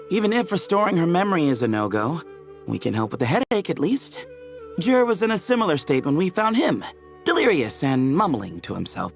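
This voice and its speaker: Chill friendly young dude in a game, bouncy intonation, bit of fry, casual. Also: male voice, on the high side. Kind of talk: video game voice acting.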